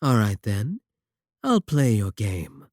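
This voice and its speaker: A man playing a sly, sadistic warlock in a roleplay audio drama, smug and possessive, with taunting, deliberate phrasing. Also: fairly low pitch, very animated, no echo, clean studio sound. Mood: sly, bargaining. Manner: taunting, drawn-out, deliberate phrasing with dark chuckles. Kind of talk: scripted roleplay audio drama, spoken to the listener.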